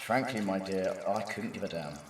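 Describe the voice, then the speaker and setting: A man's voice: sits low, moderate pace, a little hiss or hum, natural voice. A man playing an arrogant, greedy villain in an audio drama, sneering and boastful. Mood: smug, menacing. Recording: roomy, distant, with music behind.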